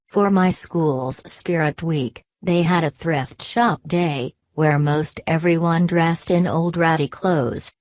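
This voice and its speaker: A text-to-speech woman's voice reads online posts aloud in an even, mechanical way, often repeating the last word of a post. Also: sits low, no room sound. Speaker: narrator reading forum posts.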